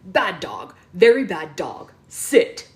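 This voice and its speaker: A woman's voice: lowish voice, lively intonation, a little slow, fairly bright tone. A woman voicing a bold, commanding young boy from a storybook. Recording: roomy echo, some background noise.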